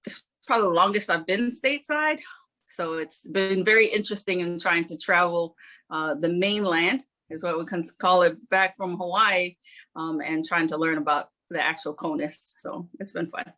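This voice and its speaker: A middle-aged woman from New Zealand, an Air Force member telling her life story in an interview, earnest and modest, pausing often. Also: fairly low pitch, sharp tone. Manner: earnest, modest, reflective with frequent pauses.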